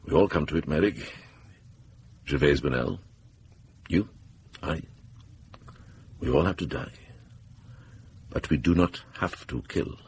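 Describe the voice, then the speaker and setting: A man playing a wise, wry older medieval monk in a radio drama, composed and unhurried in manner. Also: a bass voice, a little mellow, husky, full character voice.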